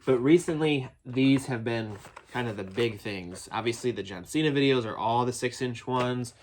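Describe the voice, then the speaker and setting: A man's voice: medium pitch, moderate pace, a little hiss or hum. A man with a cold, sounding nasal and a bit phlegmy, giving a rambling, eager unboxing review of action figures from his toy building. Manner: rambling and chatty, many restarts, eager.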